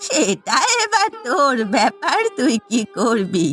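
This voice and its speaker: A high, very animated cartoon voice from a woman of an old village grandmother. She speaks slowly and dramatically, querulous and suspicious, with a scolding edge. Faint music plays behind her. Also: full character voice. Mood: self-pitying. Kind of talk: Bengali cartoon voice acting.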